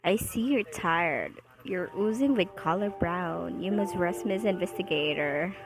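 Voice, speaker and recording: A woman's voice: fairly low pitch, unhurried. A woman playing a cryptic, insistent female student in an amateur radio drama. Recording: music behind the voice.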